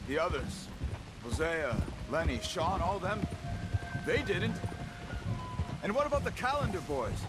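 A man playing a calm, principled outlaw scout, measured and earnest, in a western game. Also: high-pitched, poor audio. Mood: grave, encouraging. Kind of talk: cinematic western game dialogue. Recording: dramatic music bed underneath.

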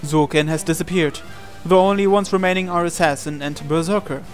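A man reading a visual novel aloud as a dramatic narration, with deliberate pauses. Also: a little high, fairly even intonation. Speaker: gaming channel host. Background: game music.

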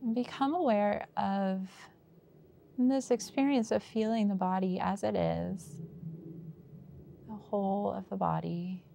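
A woman guiding a meditation as its teacher, with unhurried, gentle prompts and long pauses. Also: mid-range voice, fairly even intonation, vocal fry, slight background noise. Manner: slow, pausing, unhurried delivery with gentle prompts. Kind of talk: guided meditation.

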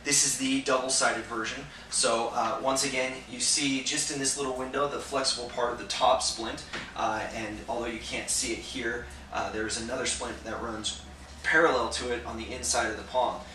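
A young man giving a casual, relaxed product review to camera for a store, filling pauses with lots of "uh" and "um". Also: medium pitch, slight background noise. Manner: relaxed, conversational, many "uh" and "um" fillers. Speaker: gear reviewer for a sports protection store. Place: indoor shop room with slat wall. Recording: echoey room, voice a bit distant.

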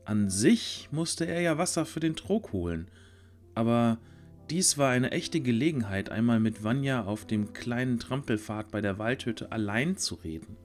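A man narrating a scripted fantasy audio drama in a measured storytelling manner. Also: medium pitch, lively intonation, faint music behind.

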